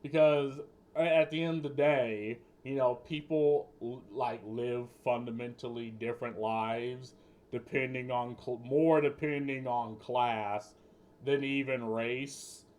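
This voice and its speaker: Male streamer, unhurried and rambling, profane off-the-cuff commentary. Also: medium pitch, fairly even intonation, darkish tone. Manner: rambling, swears often, restarts mid-sentence. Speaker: streamer reacting to news clips. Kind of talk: casual political commentary.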